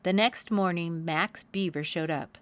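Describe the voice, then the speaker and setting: A woman hosting a read-aloud, telling a children's picture-book story with expressive, deliberate phrasing for young listeners. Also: on the low side.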